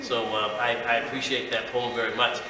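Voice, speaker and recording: A man's voice: mid-range voice, level tone, a little fast. Older man addressing an echoey hall. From Massachusetts, USA. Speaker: guest speaker, retired teacher and community leader. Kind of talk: semi-formal ceremony speech. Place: large echoey indoor event room. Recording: echoey hall, distant mic, background noise.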